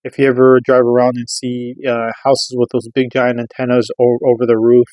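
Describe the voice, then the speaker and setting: A man's voice: mid-range voice, monotone, moderate pace, a little mellow, dry recording. A middle-aged American man giving a casual product review, explaining things in an informal, rambling way. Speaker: radio reviewer.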